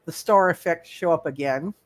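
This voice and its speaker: A man running a live roleplay game as game master, chatting casually and often halting to repeat himself. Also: fairly high pitch.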